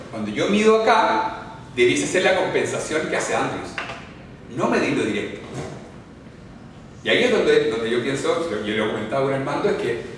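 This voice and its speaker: Chilean man, higher-pitched voice, chatty explanatory lecturer, far from the mic. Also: fairly bright tone, slight background noise. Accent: Chilean Spanish. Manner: explanatory, checks in often with the audience, chatty asides. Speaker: orthodontics lecturer. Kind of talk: live classroom lecture with audience questions. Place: lecture hall. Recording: echoey hall recorded from far off.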